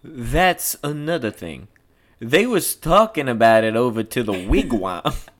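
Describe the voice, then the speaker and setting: Woman playing a swaggering, deep-voiced beat cop. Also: big pitch swings, a little slow, full character voice. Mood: self-important. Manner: folksy, self-important, ungrammatical old-time speech. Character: boastful, loyal, dim male New York beat cop. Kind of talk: script read-through of a play.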